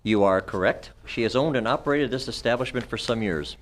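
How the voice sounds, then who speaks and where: A man's voice: mid-range voice, brisk, natural voice. A man acting a formal, self-important doctor in an old-time radio mystery. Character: formal, self-important male doctor, husband of gallery owner. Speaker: amateur radio club performer.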